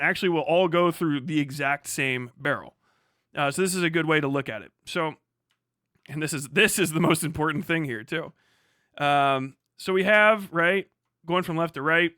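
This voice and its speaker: A man's voice: on the high side, pushed and bright, clear, resonant tone, dry recording, clean studio sound. A man hosting a livestream, explaining things casually in a rambling, sweary way with asides to himself. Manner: rambling, self-interrupting asides. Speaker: livestream host.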